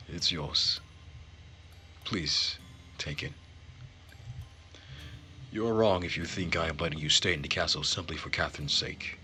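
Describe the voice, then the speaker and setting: Man acting a low, gentle, earnest nobleman. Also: a little slow, long pauses, slight background noise, full character voice. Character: gentle, earnest young nobleman, quietly sorrowful. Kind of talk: visual novel character read-aloud. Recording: music behind the voice.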